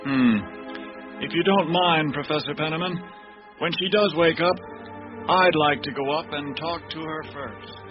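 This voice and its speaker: Man playing measured captain over music, muffled radio. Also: on the high side, lightly acted. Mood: cool, measured. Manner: measured, deliberate. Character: calm, measured male captain investigating a case. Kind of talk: radio drama acting. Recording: dull lo-fi radio drama over clear music.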